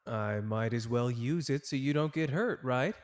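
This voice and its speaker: A man's voice: mid-range voice, moderate pace, no echo, clean studio sound, lightly acted. A man playing a cocky, tender young male sorcerer in an intimate ASMR romance roleplay, with a playful, teasing, casual manner. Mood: reassuring.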